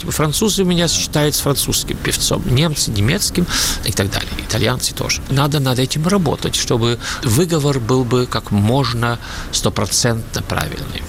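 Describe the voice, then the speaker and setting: Gravelly-voiced Swedish-born man, halting speech. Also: mid-range voice. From Sweden. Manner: halting, searches for words, slightly non-native phrasing. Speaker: opera singer, interview guest. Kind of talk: conversational interview answers. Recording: noisy radio interview recording.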